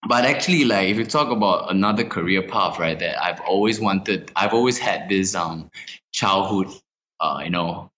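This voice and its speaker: A man's voice: low-pitched, moderate pace. A young man from Singapore, a musician answering interview questions casually, with lots of "you know" and "man". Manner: relaxed, full of fillers like "you know" and "man". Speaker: musician guest.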